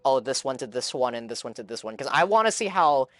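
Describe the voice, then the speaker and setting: A man's voice: mid-range voice, lively intonation, fast, fairly bright tone, no echo. A man streaming a game, giving casual live reaction commentary and thinking aloud in a rambling way.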